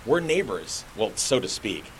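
An actor playing a familiar, talkative stranger in an audio drama, casual but insistent. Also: male voice, fairly low pitch, big pitch swings, normal speed, gravelly, poor audio. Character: talkative, familiar male stranger claiming to be a neighbor. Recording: faint hiss and rain noise.

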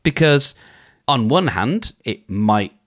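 A male podcaster talking through advice in a loose, conversational monologue. His pitch moves freely up and down, and he often restarts phrases as he thinks aloud. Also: very animated, slow pace, fairly bright tone. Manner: conversational, thinks aloud, acts out inner dialogues; frequent restarts and filler phrases. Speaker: podcast host.

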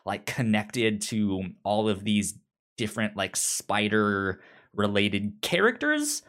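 Slow-paced man with a stutter. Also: fairly low pitch, very animated, a bit bright. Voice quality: stutter with repeated syllables and sounds. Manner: halting, informal, explanatory. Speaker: podcast co-host. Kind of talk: casual pop culture discussion.

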